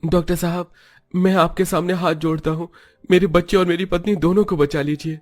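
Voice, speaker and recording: A desperate young husband in an animated story, voiced by a man who pushes his voice far higher than his own. He pleads and sobs in a raised, strained tone. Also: brisk, natural voice. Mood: pleading. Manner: pleading, sobbing.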